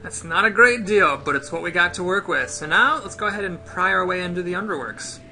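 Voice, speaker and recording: A man's voice: high-pitched, brisk, sharp tone. A man hosting a casual game play-through, explaining things step by step to his viewers.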